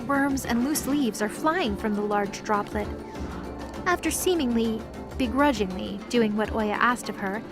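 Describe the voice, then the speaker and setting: High-voiced woman narrating a story. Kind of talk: scripted present-tense story narration.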